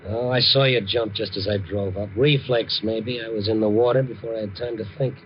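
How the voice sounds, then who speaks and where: A man's voice: on the low side, steady tone, fairly quick, slight background noise, close to an everyday voice. An American man acting in a 1950s radio drama as a tough, wry, kindly newspaperman who narrates his night story in an understated way. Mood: calm, reassuring. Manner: wry, hard-boiled, understated. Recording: dull, band-limited 1950 radio recording with music.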